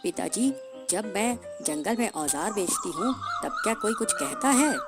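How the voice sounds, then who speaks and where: Lady doing a sweet hardworking cartoon bird girl, soft n earnest, music behind. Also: medium pitch, animated, moderate pace, lightly acted. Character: hardworking young female bird, devoted caring daughter. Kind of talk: Hindi cartoon story voice.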